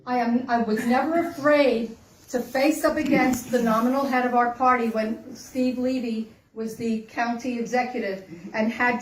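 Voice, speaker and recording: Woman in her 60s, earnest and emphatic campaign speaker, recorded from a distance in a roomy space with faint hiss. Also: on the high side. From Dominican Republic. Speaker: congressional candidate. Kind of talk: campaign stump speech. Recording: echoey room, mic from far off.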